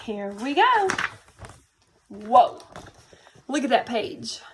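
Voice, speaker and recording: A woman reads aloud for young children. Her voice is high and swings widely in pitch. She addresses the listeners directly, with an animated storytime delivery. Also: very slow, background noise. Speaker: teacher reading a picture book aloud to children. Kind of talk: expressive children's read-aloud.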